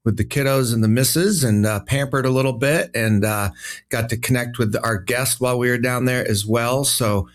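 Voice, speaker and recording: A man's voice: mid-range voice, normal speed. An upbeat man co-hosting a finance podcast, chatty and full of little fillers as he talks. His voice sits in the middle range. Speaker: financial advisor co-host. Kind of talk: podcast conversation.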